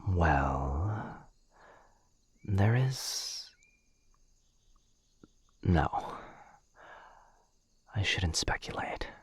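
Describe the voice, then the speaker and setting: A man playing a gentle, protective, courtly vampire lord in an ASMR roleplay, speaking softly in a husky near-whisper with a hesitant, formal, earnest manner. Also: deep voice, sing-song, drawn-out words, pauses often, no room sound, exaggerated voice. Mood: earnest, careful. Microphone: close studio mic, dry.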